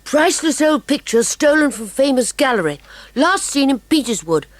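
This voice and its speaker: A woman acts an eager little English schoolboy for a children's mystery audiobook. The voice is very high and bouncy in pitch, recorded dry and close. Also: sing-song, moderate pace, no echo. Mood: excited. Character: excitable young schoolboy, keen on mysteries. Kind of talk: dramatised children's audiobook acting.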